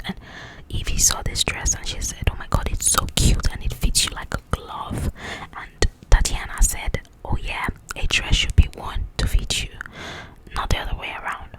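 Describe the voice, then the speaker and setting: A woman speaking in a breathy, confiding whisper, chatting casually to the listener in an ASMR style. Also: low-quality recording. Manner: confiding, conversational, checks that the listener follows. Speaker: host of an ASMR book channel. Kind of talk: ASMR, whispered chat about book highlights.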